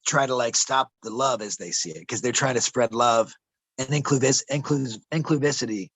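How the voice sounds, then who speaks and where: Gravelly man telling wild stories. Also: medium pitch, moderate pace, a bit bright, dry recording. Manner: conspiratorial, says bro and man, trips over words. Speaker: interviewee, traveler telling his stories. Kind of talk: casual rambling storytelling. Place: outdoors in a desert camp.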